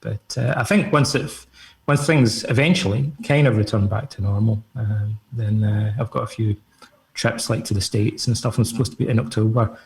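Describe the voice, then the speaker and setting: A man's voice: on the low side, fairly even intonation, moderate pace, creaky, slight background noise. A middle-aged man with a Scottish accent, an author and psychotherapist answering interview questions in an easygoing, rambling way over a video call. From Scotland. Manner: easygoing, rambling with many fillers. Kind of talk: relaxed conversational interview answers.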